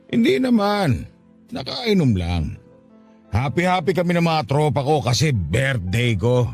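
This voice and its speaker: A man acting a tipsy, self-pitying father in a radio drama, sulky and quick to argue. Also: mid-range voice, wildly swooping pitch, a little mellow. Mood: tipsy, needling. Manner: sulky, argumentative. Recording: music behind the voice. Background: music.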